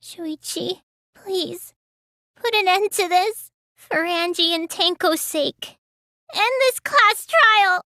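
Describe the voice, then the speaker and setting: A woman's voice: very high voice, slow, pushed and bright, dry recording, clean studio sound, full character voice. A woman voicing a petite, stubborn teenage girl magician in an English anime game, with a languid, childlike, slightly pouty delivery. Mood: desperate, determined.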